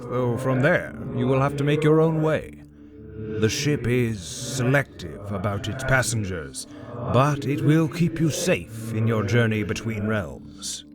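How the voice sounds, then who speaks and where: A man's voice: mid-range voice, lively intonation, over music, close to an everyday voice. A man playing a grave, ancient god in a fantasy audio drama, speaking in a formal, stately and foreboding manner. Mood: solemn, commanding. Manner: solemn, formal, measured.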